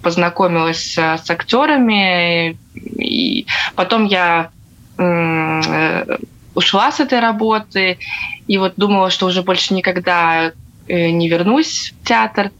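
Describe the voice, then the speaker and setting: A young woman from Latvia telling her own story casually as an interview guest, with frequent hesitant pauses. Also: lowish voice, fairly bright tone, highs cut off. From Riga, Latvia. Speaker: guest, theatre accordionist.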